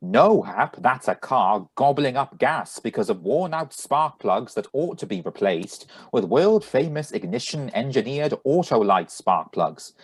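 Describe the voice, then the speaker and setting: A man playing an enthusiastic 1940s radio announcer and sponsor pitchman with a husky voice, read over a video call. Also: big pitch swings, measured pace, hardly any pauses, dry recording. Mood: upbeat selling.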